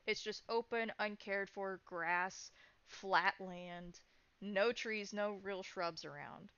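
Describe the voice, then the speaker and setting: A woman's voice: medium pitch, fairly bright tone, dry recording, lo-fi sound. A woman running a tabletop game, improvising narration and explaining scenes in descriptive detail. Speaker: game master.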